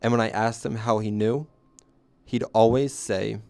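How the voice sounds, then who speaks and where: A man's voice: lowish voice, steady tone, a little slow, vocal fry, darkish tone, a little hiss or hum. A man playing a former usher, reflectively narrating his story in scripted first person.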